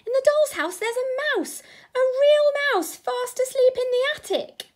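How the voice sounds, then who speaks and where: Storybook small boy, played by a woman: very high, gushing, breathlessly eager. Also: a little slow. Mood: thrilled. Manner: squeaky, gushing with excitement. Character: excited, breathless little boy in pyjamas.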